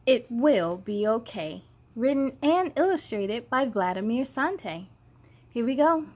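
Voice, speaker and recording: Female children's story host, high voice, expressive and playful read-aloud delivery. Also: slow. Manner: expressive, playful, addresses young listeners directly.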